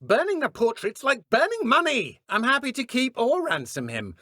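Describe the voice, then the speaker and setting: Dark wizard poacher, British, sneering, piercingly bright, hugely varied pitch, greedy and ruthless, video game villain. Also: female voice, sing-song, moderate pace, no echo, studio quality, exaggerated voice. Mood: scheming. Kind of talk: video game combat barks and villain dialogue.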